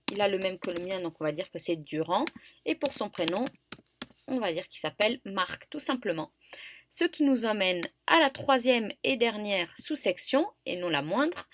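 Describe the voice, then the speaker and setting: Patient young woman explaining a form. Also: medium pitch. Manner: patient and explanatory, with frequent fillers. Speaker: student guide for university admission form. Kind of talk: screen-recorded tutorial walkthrough.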